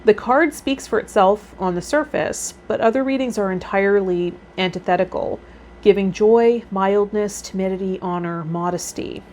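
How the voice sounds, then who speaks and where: A woman's voice: mid-range voice, normal speed, a little mellow. A woman hosting a tarot vlog, reading card meanings aloud and adding casual commentary of her own. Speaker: tarot vlogger and healer hosting a series. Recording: noticeable background noise.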